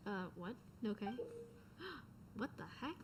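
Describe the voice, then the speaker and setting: A woman's voice: medium pitch, lively intonation, unhurried, background noise. A woman giving casual, slangy reaction commentary while playing a video game. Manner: informal, slangy. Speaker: gamer, streamer. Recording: loud game audio behind the voice. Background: game sounds and ambience.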